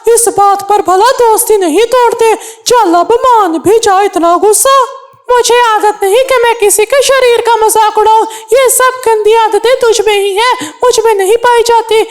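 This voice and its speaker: A woman plays a small male bird from a children's cartoon. The voice is extremely high and squeaky. He is touchy and argumentative, quick to huff and complain. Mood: quarrelsome. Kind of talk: Hindi children's cartoon dialogue.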